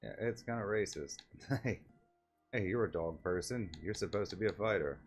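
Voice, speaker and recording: A man's voice: low voice, normal speed, soft background music. A man giving casual commentary as he streams his playthrough of a game.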